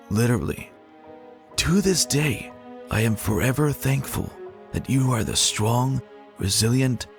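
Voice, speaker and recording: A man voicing a lovestruck young male pony, speaking earnestly with heartfelt, dramatic pauses in a fan fiction reading. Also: mid-range voice, vocal fry. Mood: grateful, tender.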